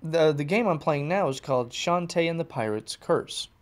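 A man's voice: on the high side, expressive, moderate pace. An American man hosting a podcast alone, chatting casually in a rambling way and often correcting himself mid-sentence, recorded while driving. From United States. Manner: rambling, restarts and self-corrections. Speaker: podcast host. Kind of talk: casual solo chat. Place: in a car, driving.

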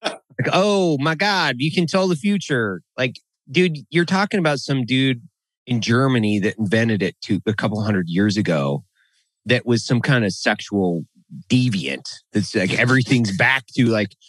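A man co-hosting a podcast in casual, profane banter, blunt and animated, talking into a boom mic in a studio room. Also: moderate pace, no room sound. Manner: blunt, animated, swears freely. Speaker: podcast co-host. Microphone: studio mic on boom arm.

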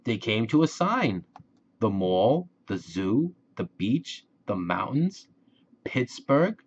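Male narrator for kids' picture book, unhurried and very lively pitch, slightly dull lo-fi recording. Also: breathy edge. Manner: slow, deliberate, expressive phrasing for young listeners. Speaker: children's book reader. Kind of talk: storybook read-aloud narration for children.

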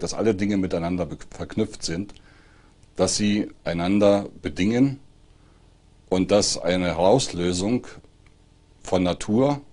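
A man giving an explanatory talk in deliberate, emphatic short phrases. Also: low voice, a little mellow, husky.

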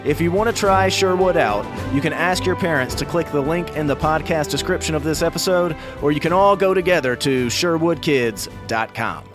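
Male kids' story narrator, higher register, casual and wry, over music. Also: moderate pace, few pauses. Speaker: children's storyteller and show creator. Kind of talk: scripted story narration for kids.

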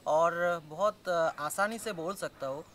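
A man's voice: on the high side, slow, fairly bright tone, slight background noise. A young man whose first language is Bengali, explaining step by step to camera as a motorcycle vlogger, with frequent hesitant fillers. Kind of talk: casual talk to camera. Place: outdoors in a yard, then indoors in a small room.

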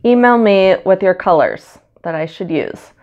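Brief: stuffy-voiced woman with a cold, unhurried rambling craft-video chat, slightly dull recording. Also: medium pitch, lively intonation. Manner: chatty and rambling, many ums. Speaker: acrylic pour artist. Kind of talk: casual how-to narration while painting. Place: home studio, indoors.

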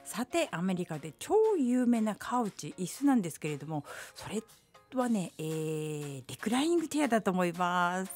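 A woman vlog host giving a polite, unhurried voice-over with gentle asides. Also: on the low side, big pitch swings, faint music behind. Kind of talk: calm explanatory voice-over.

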